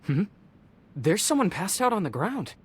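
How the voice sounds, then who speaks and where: An actor playing a kind-hearted, loyal samurai deputy in a video game, speaking earnestly and expressively. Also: male voice, high-pitched, normal speed, a little hiss or hum. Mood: awed, curious. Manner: earnest, expressive, slightly stammering when startled. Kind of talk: video game character voice acting.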